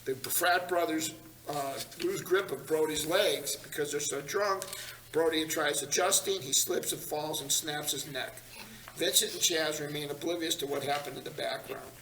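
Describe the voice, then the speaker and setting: A man's voice: fairly high pitch, moderate pace, a bit bright. A man reading stage directions as narrator at a screenplay table read in a meeting room. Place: large meeting room, group around tables. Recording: echoey, distant, slightly noisy room.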